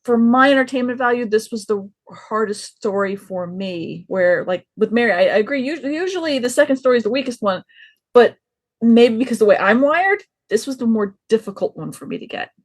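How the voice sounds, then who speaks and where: A woman in a casual podcast review chat, speaking in halting bursts and repeating words. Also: fairly high pitch, animated. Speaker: podcast panelist.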